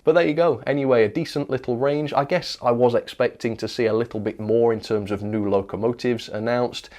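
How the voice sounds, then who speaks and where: A man's voice: mid-range voice, steady tone, fairly quick, darkish tone. A man with a British accent giving a casual, opinionated product news commentary, speaking conversationally with little asides. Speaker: presenter of a model train review channel.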